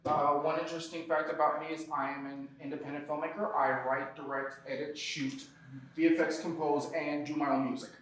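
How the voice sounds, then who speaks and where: A man's voice: fairly high pitch, little pitch movement, brisk, a bit bright. A man, an independent filmmaker, casually introducing himself. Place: rehearsal studio. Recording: very echoey room, distant mic.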